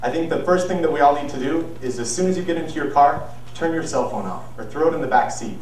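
A man's voice: on the high side, a little mellow. Young man speaking in an echoey hall. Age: young adult. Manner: earnest, measured, with some restarts. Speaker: student speaker. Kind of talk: persuasive speech. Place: large auditorium stage. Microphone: far camera, no visible mic. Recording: very echoey hall, distant mic, faint noise.

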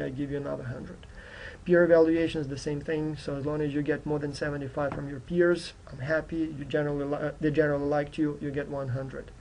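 A man's voice: fairly high pitch, vocal fry, darkish tone, slight background noise, rough recording. A man who is a non-native English speaker, giving an informal, explanatory course introduction as a university instructor. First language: not English, which is his fourth language. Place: indoors at a computer.

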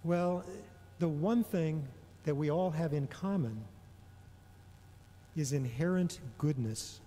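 A middle-aged man delivering a prepared homily in a measured, deliberate way, pausing often between phrases. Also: a little high, mellow. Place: large indoor church or hall. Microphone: gooseneck microphone on a lectern. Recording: long reverberation of a large hall.

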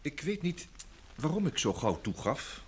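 A man's voice: fairly high pitch, sing-song, measured pace, husky, heavily acted. A man acting a polite, busy secretary in an old Dutch radio drama, speaking in hesitant phrases with repeated words. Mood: explaining, uneasy. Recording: vintage radio drama recording.

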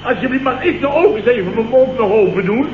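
An actor playing a boastful, weary, blustering boss in an old radio comedy, rambling and stammering. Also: male voice, very high voice, animated, brisk. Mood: indignant. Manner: rambling, exclamatory, full of stammers and interjections. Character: blustering, boastful, weary male boss and amateur night watchman. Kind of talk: 1970s Dutch radio comedy acting. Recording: echoey, noisy, muffled old radio broadcast.

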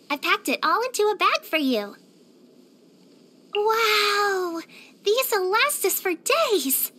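A woman's voice: very high voice, bright, forceful tone, heavily acted. A woman voicing a sweet, caring little girl adventurer in a video game, with a small childlike voice and an earnest, exclamatory manner. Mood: cheerful, helpful. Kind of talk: video game character battle lines.

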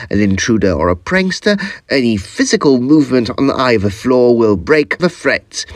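An actor playing an eager, exacting young Edwardian gentleman ghost hunter with a formal, theatrical manner in an audio drama. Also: mid-range voice, dry recording.

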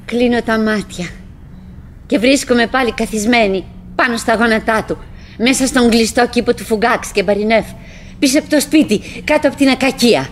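An actress playing a chattering, wistful middle-aged wife who is stubbornly cheerful, musing aloud in fragments and exclamations in a theatrical radio play. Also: female voice, on the high side, close to an everyday voice. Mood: nostalgic. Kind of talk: theatrical radio drama monologue. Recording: noisy old radio drama recording.

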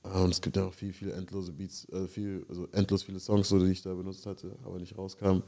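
A man's voice: deep voice, little pitch movement, fast talker, dark tone. A man telling stories in a laid-back casual chat, full of slang and English words.

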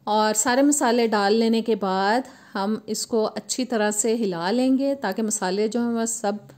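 A woman, host of a cooking channel, calmly explaining a recipe step by step in voice-over. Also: on the high side, a little slow. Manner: steady step-by-step instructional tone.

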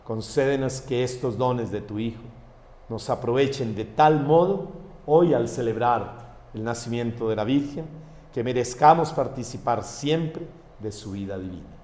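Colombian-born priest leading mass, booming church reverb, bit of hum behind. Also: male voice, a little slow. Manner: warm pastoral address to the faithful. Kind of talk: celebrating mass and giving parish notices. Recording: echoey church hall with faint background noise.

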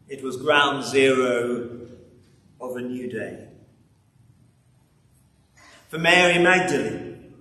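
A man in his seventies preaching a sermon in a solemn, measured, rhetorical way, pausing often. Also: mid-range voice, a bit bright. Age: 70s. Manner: solemn, measured rhetorical delivery with pauses and repetition. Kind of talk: sermon with scripture reading. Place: large church interior, standing at a lectern. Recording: echoey hall, distant mic, background noise.

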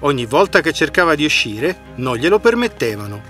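Lively high-voiced male storyteller. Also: brisk. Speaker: narrator. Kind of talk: scripted fairy-tale narration. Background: background music.

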